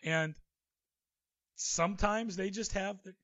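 A man's voice: high voice, takes it slowly, sharp tone, lo-fi sound. Chatty man co-hosting a sports podcast. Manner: chatty, reads news then riffs with opinions. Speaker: podcast co-host. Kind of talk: casual sports chat.

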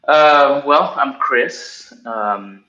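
A man's voice: medium pitch, pushed and bright. A man in his thirties from Cameroon, a podcast guest telling his story casually, with many ums and restarts. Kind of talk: casual interview answers. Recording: roomy, dull remote recording with faint noise.

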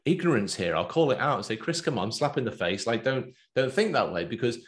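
A man with an English accent hosting a business chat, talking in a lively, loose way with plenty of fillers. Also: medium pitch, brisk. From England. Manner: animated, talkative, loose with fillers. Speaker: podcast host and business coach.

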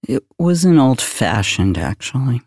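An actor playing a world-weary older male detective, recalling things in a deadpan, brooding noir monologue. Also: medium pitch, unhurried, no room sound, studio quality. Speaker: police detective.

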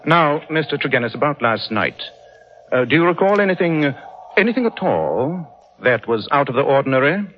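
An actor playing a commanding, keen-witted English detective with a British accent, crisp and theatrical in an old-time radio drama. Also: male voice, fairly high pitch, big pitch swings, moderate pace. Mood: measured, inquisitive. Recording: muffled vintage radio recording, faint noise.

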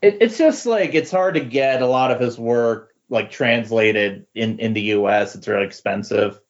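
A man chatting casually about comics on a podcast, halting and repeating words as he thinks. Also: mid-range voice. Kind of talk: casual comic book discussion. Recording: dull lo-fi voice recording.

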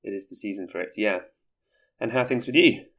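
A man's voice: on the low side, fast talker, gravelly, a bit bright. A man hosting a casual podcast chat, sounding a little congested from a cold and explaining things at length. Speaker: podcast host.

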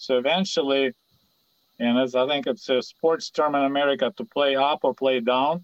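A dry, slightly dull recording of a man from Ukraine with a Russian accent. He is middle-aged or older and speaks at a medium pitch. He explains things in a casual, conversational way, as a guest in an interview. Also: fairly even intonation, quick pace, smooth, no room sound. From Ukraine, raised in Soviet Kyiv.

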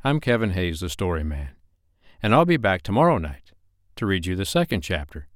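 A man with a lowish voice narrates a children's storybook to young listeners. His intonation rises and falls in a lively, sing-song way, with emphatic phrasing. The sound is dry and close. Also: normal speed, no echo. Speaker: storyteller, host of a bedtime reading series.

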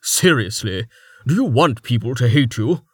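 A man's voice: mid-range voice, lively intonation, normal speed, slightly rough, no echo, clean studio sound. An actor playing a man who tries to keep the peace, scolding the others. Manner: scolding, reasonable.

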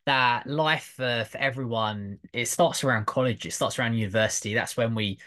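A man's voice: medium pitch, normal speed, bright, forceful tone. A man hosting a podcast interview, speaking conversationally with enthusiastic, wordy questions. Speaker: podcast host.

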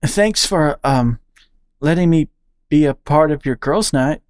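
A woman's voice: low voice, animated, measured pace, a little mellow, no room sound, studio quality, voice pushed up. A woman voicing a shy, nervous young female bat who stammers and apologizes. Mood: timid.

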